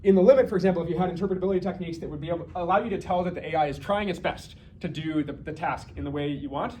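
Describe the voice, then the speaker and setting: A man's voice: fairly high pitch, rapid-fire, darkish tone. A man giving an informal technical lecture as a researcher, thinking aloud and often restarting and correcting himself.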